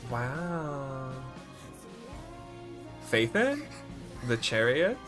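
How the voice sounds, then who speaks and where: Male streamer, average pitch, casual halting commentary with exclamations, music behind. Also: very animated. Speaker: game streamer. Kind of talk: casual livestream reaction commentary. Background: game trailer music.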